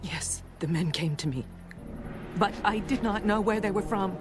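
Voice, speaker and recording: A woman's voice: mid-range voice, normal speed, close to an everyday voice. An actress playing a cunning woman in a dramatized audio Bible, dramatic and urgent, covering up a lie. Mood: feigned innocence. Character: woman innkeeper coolly lying to protect hidden men. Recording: music under the voice.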